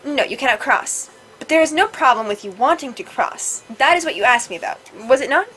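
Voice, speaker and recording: A woman's voice: sits high, lively intonation, a little fast, sharp tone, faint music behind, low-quality recording. A woman voicing a polite, stubborn, literal-minded water spirit AI in a formal, precise way, recorded with some noise. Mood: matter-of-fact. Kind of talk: reading visual novel dialogue aloud.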